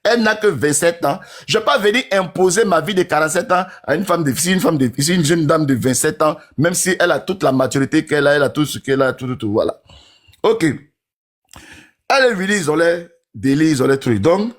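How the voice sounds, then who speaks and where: A man's voice: fairly high pitch, expressive, brisk. A man in his forties talking in a casual, rambling monologue to his followers, who keeps asking whether they understand. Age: 40s.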